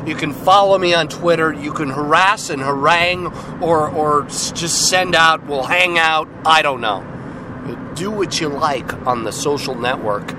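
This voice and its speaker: A raspy, hoarse man is getting over a cold while he hosts his podcast. His voice sits a bit higher than most men's. He jokes and rambles loosely, with steady noise running underneath. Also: unhurried. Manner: joking, loose, self-deprecating. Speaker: podcast host. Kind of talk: casual rambling monologue.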